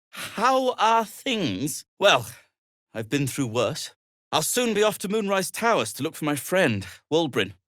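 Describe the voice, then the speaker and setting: A man playing a fussy, wry, anxious deep gnome tinkerer in a video game, speaking in wordy, formal, hesitant phrases with dry sarcasm. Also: fairly bright tone, no echo. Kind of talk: game dialogue acting.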